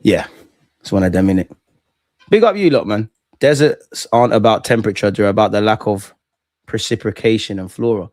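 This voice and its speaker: A young man with a London accent hosting a football watch-along, chatting casually and excitably in slang with his viewers. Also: lowish voice, a little fast. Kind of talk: casual live reaction commentary and chat with viewers.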